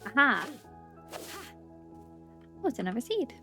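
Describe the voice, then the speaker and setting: A woman giving casual, playful gameplay commentary as a video host, chatty and quick to exclaim. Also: fairly high pitch, big pitch swings, creaky, bright, forceful tone, faint music behind.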